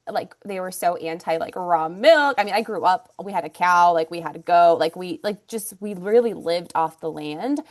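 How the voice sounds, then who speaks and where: Interview guest: woman in her thirties, Russian first language, from Ukraine, chatty storyteller with lots of fillers. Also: lowish voice. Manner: chatty, many fillers and restarts. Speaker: guest, naturopathic doctor and acupuncturist.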